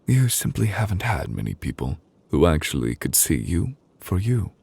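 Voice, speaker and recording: A man playing a solemn, devoted fantasy judge in an intimate ASMR roleplay, speaking gently and deliberately in a husky voice, comforting his beloved. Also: low voice, sing-song, dry recording, exaggerated voice. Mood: soothing, earnest. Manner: slow, deliberate, gently reassuring with pauses. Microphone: close, dry studio microphone.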